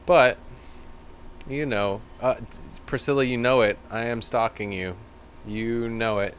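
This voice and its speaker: A man with a slightly rough, tired voice hosting a live stream, rambling casually and thanking viewers by name. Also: medium pitch, fairly even intonation, measured pace, a little hiss or hum. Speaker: livestream host. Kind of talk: casual live-stream chat reading comments.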